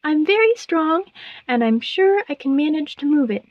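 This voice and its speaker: A woman's voice: sits high, lively intonation, normal speed, no room sound, far from the mic, highs cut off. A woman voicing a sweet, devoted young moth in a storybook tale, with an earnest, chirping delivery. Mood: hopeful, naive. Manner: chirping, earnest, breathless sighs.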